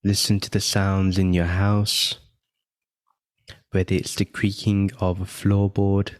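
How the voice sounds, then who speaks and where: A man leading a guided meditation, giving gentle step-by-step instructions with long pauses between phrases. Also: low-pitched, completely flat delivery, no room sound, studio quality. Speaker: meditation guide and podcast host.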